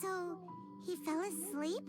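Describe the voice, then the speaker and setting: A shrill, bouncy little floating sidekick in a game, chatty, over loud music. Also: female voice, slow. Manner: chatty, exclamatory, expressive. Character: tiny floating girl companion, chatty and excitable. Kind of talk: game voice acting.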